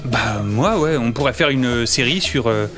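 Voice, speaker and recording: A man playing a bewildered ordinary employee in a comedy audio drama, halting and stammering. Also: medium pitch, expressive, music in the background, natural voice. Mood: puzzled.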